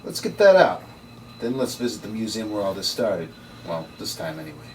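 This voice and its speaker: A man's voice: fairly low pitch, sing-song, fairly quick. A man playing a sardonic, world-weary noir occult investigator with slangy delivery in a script table read. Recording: distant mic, noisy room.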